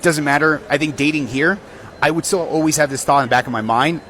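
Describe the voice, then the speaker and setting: A man's voice: fairly high pitch, fast, fairly bright tone. Blunt Mexican American man outdoors. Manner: blunt, frank. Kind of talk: casual opinion vlog.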